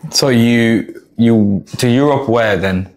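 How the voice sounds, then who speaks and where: A man's voice: mid-range voice, takes it slowly. A man hosting a podcast while cutting a guest's hair, chatty and asking questions, restarting his words often. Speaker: podcast host and barber. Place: barbershop.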